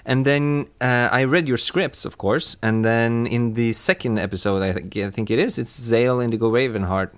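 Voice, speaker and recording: A man working as a sound designer, chatting casually and haltingly, often repeating his words. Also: medium pitch. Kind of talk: casual chat.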